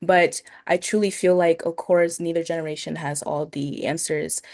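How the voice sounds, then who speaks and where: A woman's voice: low voice, fairly even intonation, moderate pace, darkish tone. A young woman from Nigeria giving partly prepared remarks as a youth panelist.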